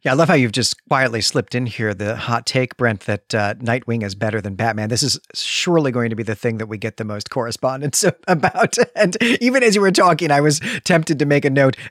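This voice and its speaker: A man's voice: mid-range voice, fairly quick, few pauses, no room sound, studio quality. A man co-hosting a podcast in casual conversation, with a wry, lightly teasing way of talking. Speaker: podcast co-host.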